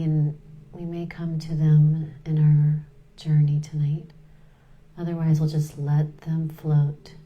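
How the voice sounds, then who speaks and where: Woman doing guided meditation, slow and even, soothing, lots of pauses, soft music behind her. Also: low voice, dull audio. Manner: soothing, unhurried, pausing between short phrases.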